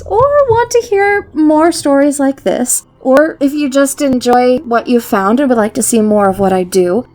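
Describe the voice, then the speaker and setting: A woman's voice: a little high, darkish tone. A woman hosting and narrating in a scripted, theatrical and whimsical storytelling style, with playful delivery. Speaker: YouTube host and story narrator. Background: background music.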